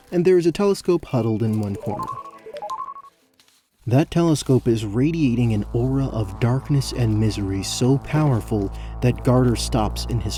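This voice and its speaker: A man narrating a scripted fantasy story as the narrator of an audio drama. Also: mid-range voice.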